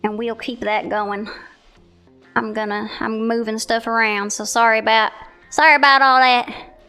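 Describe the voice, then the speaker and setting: A woman streamer talks casually to her viewers in a high, clear voice. She is American and plays up a Southern twang, with a chatty, apologetic, playful way about her. Music plays behind her. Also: fairly even intonation, moderate pace, sharp tone. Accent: American, playing up a Southern US twang. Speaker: streamer showcasing viewer art. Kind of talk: casual livestream chat with viewers.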